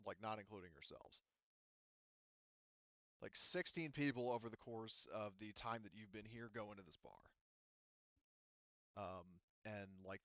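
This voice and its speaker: A man running a tabletop roleplaying game as game master, explaining things in a halting way. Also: mid-range voice, a bit bright, clean tone, no room sound, highs cut off.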